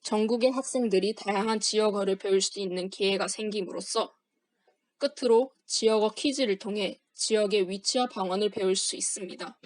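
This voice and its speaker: A young woman, a university student, reading a formal project presentation aloud in a steady, scripted manner. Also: mid-range voice, normal speed, no echo, poor audio. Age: young adult.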